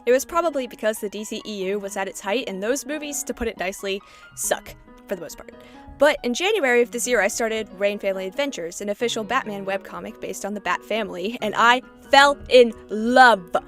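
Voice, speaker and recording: A woman giving a casual, excited recap commentary with emphatic, exclamatory, slangy delivery. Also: medium pitch, fast, faint music behind. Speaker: YouTube video essayist, fan of animated series.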